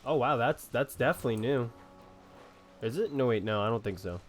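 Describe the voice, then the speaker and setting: A man's voice: medium pitch, brisk, faint music behind. A man giving casual, off-the-cuff commentary while playing a game. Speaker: gamer playing and reacting.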